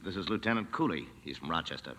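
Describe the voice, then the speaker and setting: A stern, official police sergeant with a lowish, forceful voice. His delivery is vintage radio drama, in a reverberant recording with music behind. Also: expressive, fast, a little hiss or hum. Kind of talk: 1940s radio drama acting.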